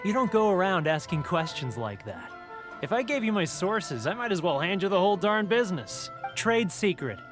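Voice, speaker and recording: A man's voice: sits high, big pitch swings, normal speed, over music. An actor playing a rude, greedy male vendor in a video game, scornful, brusque and mocking. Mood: mocking, smug. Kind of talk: video game cutscene acting.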